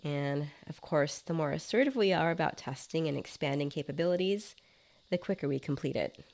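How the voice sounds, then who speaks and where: Woman playing male app developer, nonchalant, chatty, explanatory, low creaky voice, short-story reading. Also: brisk, darkish tone. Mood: matter-of-fact.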